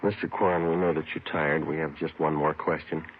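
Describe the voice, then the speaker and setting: A man's voice: extremely low pitch, level tone, moderate pace, slight background noise. A man with an American accent playing a clipped, matter-of-fact yet courteous police sergeant in a 1950s radio detective drama. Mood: courteous, probing. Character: brisk, businesslike male police sergeant narrating his case. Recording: tinny old radio with loud music.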